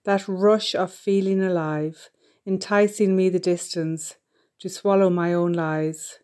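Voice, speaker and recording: A woman, a poet, introducing herself and then reciting her own poem in a slow, deliberate way. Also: on the low side, steady tone, mellow. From Ireland. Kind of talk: poetry reading.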